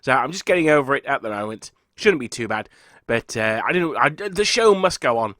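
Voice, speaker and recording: A man, husky and congested from a cold, chatting casually as host of a wargaming channel while talking through slides. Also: medium pitch, expressive, brisk, sharp tone, no echo, studio quality. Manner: chatty, rambling, self-correcting. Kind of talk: casual talk over slides.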